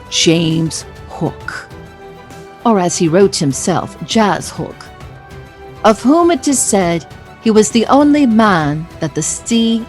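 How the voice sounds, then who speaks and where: A woman's voice: medium pitch, darkish tone. A woman narrating an audio play as a wry, theatrical storyteller reading scripted literary prose.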